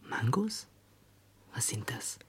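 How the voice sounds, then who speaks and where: An actor playing a tender, brooding confidant at night, speaking softly and pensively in a radio play. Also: male voice. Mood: hushed, tender. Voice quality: soft, half-whispered. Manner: hushed, slow, pensive. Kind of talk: German radio play acting.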